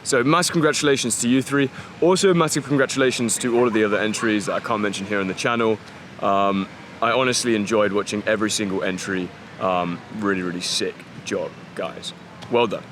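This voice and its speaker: A man's voice: on the low side, steady tone, a little fast, fairly bright tone, slight background noise. A man vlogging casually to camera, enthusiastic and slangy with lots of fillers. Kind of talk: casual vlog talk to camera. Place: outdoors in a city park.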